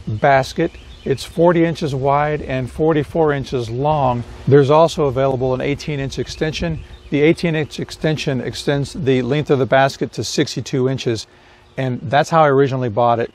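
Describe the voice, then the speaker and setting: A middle-aged man reviewing a roof cargo basket outdoors beside his car, talking in a relaxed, explanatory way with fillers and restarts. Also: mid-range voice. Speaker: product reviewer. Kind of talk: casual product review to camera.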